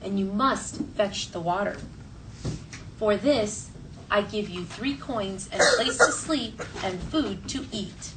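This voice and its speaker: A woman voicing a firm, bossy old village witch grandmother from a storybook, giving slow, measured orders. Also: mid-range voice, natural voice. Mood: firm, instructing. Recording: distant mic, noisy classroom ambience.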